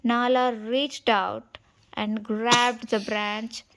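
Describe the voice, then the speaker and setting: Female storybook reader for kids: unhurried, slightly high voice, expressive read-along delivery. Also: poor audio.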